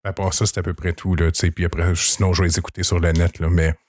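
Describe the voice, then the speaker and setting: A man with a Québécois accent hosting a podcast, talking in a relaxed, conversational way. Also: deep voice, fast talker, no echo, clean studio sound. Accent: Québécois French. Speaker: podcast host. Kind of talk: casual podcast chat.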